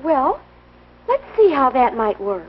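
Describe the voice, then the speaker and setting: A woman's voice: sits high, big pitch swings, slow pace, a little hiss or hum, full character voice. A woman playing a sensible, earnest teenage girl in a 1950s instructional film, speaking carefully. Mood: thoughtful. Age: young adult. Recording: muffled old educational film soundtrack.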